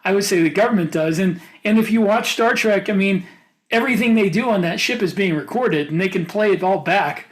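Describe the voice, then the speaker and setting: A man's voice: high voice, a little fast. A man co-hosting a podcast, talking casually with others about science fiction. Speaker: podcast co-host.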